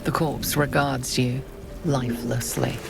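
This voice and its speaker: A woman playing the grave, ominous storyteller of a dark fantasy game, delivering scripted narration in a measured way. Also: a bass voice, fairly even intonation, creaky, music in the background, exaggerated voice. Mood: ominous, detached.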